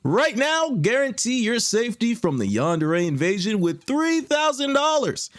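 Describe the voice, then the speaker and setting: A smarmy, chirpy TV salesman in a parody ad. His voice sits high and bounces around showily, pushing every line like a bargain. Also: very animated, normal speed, no room sound, studio quality. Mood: upbeat salesy. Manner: showy, exaggerated salesman delivery. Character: chirpy, smarmy infomercial pitchman selling yandere protection. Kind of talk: TV shopping ad parody.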